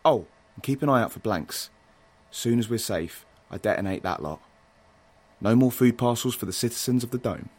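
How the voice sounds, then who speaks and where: Audio drama rebel, male actor: medium pitch, resolute and protective, clipped firm delivery with urgency. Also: animated, normal speed, a little mellow, close to an everyday voice. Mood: determined.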